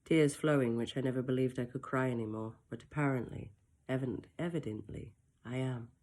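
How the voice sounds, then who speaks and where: A woman reading her own first-person story aloud, in a soft, emotional and reflective way with brief self-mocking asides. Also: a bass voice, fairly even intonation, brisk.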